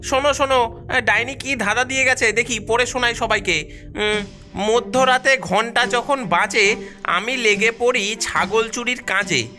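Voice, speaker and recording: An anxious villager in a cartoon acted by a woman, with a bright, sharp voice at medium pitch. The delivery is puzzled and hesitant, with faint music behind. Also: measured pace. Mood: reciting, baffled. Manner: hesitant, repeating words.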